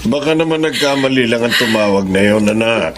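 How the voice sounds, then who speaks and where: A man playing a concerned, gentle older father with a Filipino accent in a radio drama. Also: medium pitch, lively intonation, fairly quick, over music, natural voice. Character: concerned older Filipino father comforting his grown daughter.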